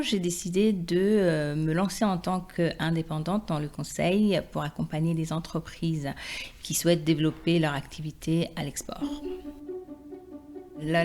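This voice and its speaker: A middle-aged woman from the Democratic Republic of the Congo telling her own story conversationally, animated and often searching for her words. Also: lowish voice. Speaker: interview guest, independent export consultant. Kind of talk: personal testimony, conversational storytelling.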